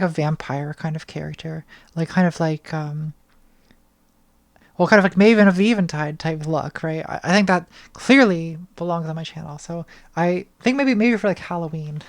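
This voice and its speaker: A young woman answering patron questions on a casual livestream from her bedroom, thoughtful and hesitant, with a slightly hoarse voice, speaking into a studio mic. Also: deep voice. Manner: thoughtful, hesitant, many restarts and ums. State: voice getting hoarse. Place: small bedroom lit purple. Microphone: studio mic on arm, front of frame.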